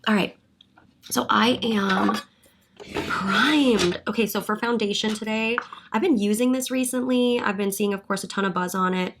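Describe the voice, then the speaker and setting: A young woman talks through a get-ready-with-me tutorial in a chatty, upbeat way, thinking out loud as she works. She sounds a little stuffed up from a cold, and a gravelly fry creeps into her voice. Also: mid-range voice, moderate pace, slight background noise. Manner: chatty, upbeat, thinking aloud while working. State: congested from a cold, sniffly. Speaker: beauty vlogger, hair and makeup artist.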